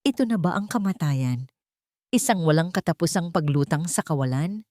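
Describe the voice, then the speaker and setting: Female storyteller, lower register, deliberate dramatic delivery, close dry recording. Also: expressive, darkish tone, no echo. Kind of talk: scripted dramatic story narration.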